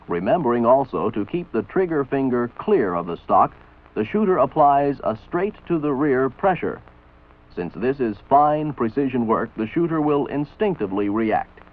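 An American man reading scripted instructional narration for a training film in a measured, authoritative, didactic manner. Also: mid-range voice. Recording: tinny band-limited old film soundtrack. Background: voice-over for an old military training film.

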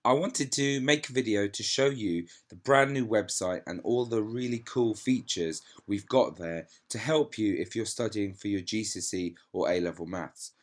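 A man with a slightly low voice, a bit stuffed up from a cold, walks through a website on screen. He explains in an upbeat, plain teacher's way, close to a desk mic. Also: normal speed, few pauses. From England. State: has a cold. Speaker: maths teacher. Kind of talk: screen-recorded website walkthrough.

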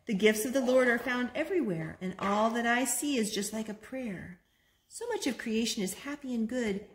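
A woman in her thirties reading a picture book aloud to small children in an expressive, singsong way at home. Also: fairly high pitch, moderate pace. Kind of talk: storytime read-aloud. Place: living room at home, on a sofa. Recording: roomy, noisy home video recording.

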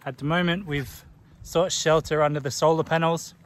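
A man in his thirties giving relaxed trail commentary to a phone held at arm's length, outdoors on a forest hiking path. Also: on the high side, normal speed, slight background noise. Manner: relaxed, informative, talking while walking. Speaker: hiking vlogger. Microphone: phone camera at arm's length.

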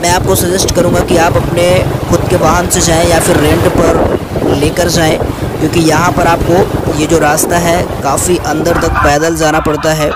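A man's voice: on the high side, little pitch movement, normal speed. A young man narrating a travel vlog while walking a crowded outdoor trail, chatty and often addressing viewers as guys, filmed at arm's length. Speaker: travel vlogger. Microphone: handheld camera or phone at arm's length. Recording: loud crowd and outdoor noise. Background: crowd chatter and outdoor noise.

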